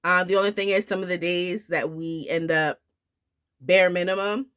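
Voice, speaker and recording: A woman in her thirties talks casually to her viewers with a bright, forward tone. She sounds a bit nasal from a recent flu, and she rambles along with fillers and restarts. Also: on the low side, steady tone, normal speed, clear, resonant tone. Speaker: homeschool vlogger. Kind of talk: casual talk to camera.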